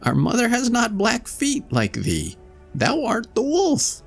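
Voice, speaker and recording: A man voicing seven timid little goat kids in a read-aloud storybook, with a high childlike pleading voice. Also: huge pitch swings, slow pace, creaky, husky, faint music behind, heavily acted.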